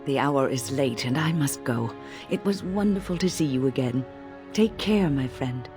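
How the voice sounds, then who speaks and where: Wise elder female mage for fantasy game: low, mellow, formal and cautious, music underneath. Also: animated. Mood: friendly, businesslike. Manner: formal, measured, kindly diction. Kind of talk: fantasy game dialogue acting.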